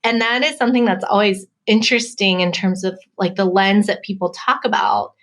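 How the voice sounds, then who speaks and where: A woman's voice: mid-range voice, fairly even intonation, brisk, a bit bright. An American woman in her thirties, a professor, talks as an interview guest. She speaks thoughtfully and hedges with frequent "like" and "um", in an ordinary mid-range voice. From United States. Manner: reflective, hedging with "like" and "um". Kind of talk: casual interview conversation.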